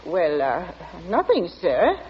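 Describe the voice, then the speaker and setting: An actress playing a nervous, respectful working-class wife in a 1940s radio drama. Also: female voice, lowish voice, huge pitch swings, takes it slowly, a little hiss or hum, exaggerated voice. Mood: timid. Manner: flustered, deferential. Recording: dull 1940s radio recording.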